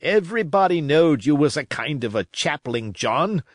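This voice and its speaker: A man reading a storybook, voicing an impatient, mutinous pirate coxswain who grumbles in rough sailor speech. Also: on the high side, lively intonation, moderate pace, dry recording, studio quality. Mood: gruff, defiant.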